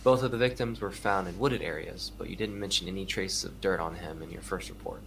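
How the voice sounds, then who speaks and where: A dogged, matter-of-fact detective in an audio drama. His voice sits fairly low, and he presses for answers in a steady, probing way. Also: brisk, gravelly, a little hiss or hum, low-quality recording. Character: dogged male police detective, weary and probing. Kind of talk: audio drama acting with narration.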